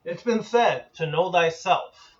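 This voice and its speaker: A man giving a spoken lecture as an occult teacher, measured and deliberate, building his points in lists. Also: sits high, distant mic, dull audio.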